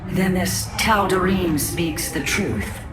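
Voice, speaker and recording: An actress playing a dignified alien matriarch in a video game, solemn and measured in formal speech. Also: female voice, fairly low pitch. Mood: wary. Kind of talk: video game cinematic acting. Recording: echoing, loud music behind.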